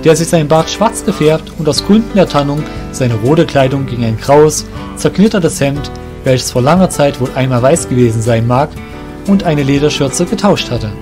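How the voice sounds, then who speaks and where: A man's voice: a little high, animated, moderate pace, music in the background. A man reading scripted narration for a fantasy audio drama.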